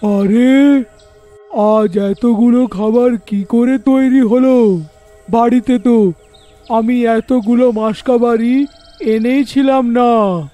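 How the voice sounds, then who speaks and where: A man voicing a simple, weary ghost husband and father in a cartoon story, with a drawn-out delivery. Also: very high voice, steady tone, mellow, faint music behind. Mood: surprised.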